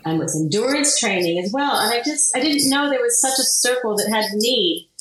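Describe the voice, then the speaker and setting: A woman from Kansas in her forties, answering interview questions in an animated way and laughing often. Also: fairly high pitch, normal speed, crowd noise. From Kansas, USA. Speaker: guest, product founder. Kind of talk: interview conversation. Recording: very echoey room, faint noise.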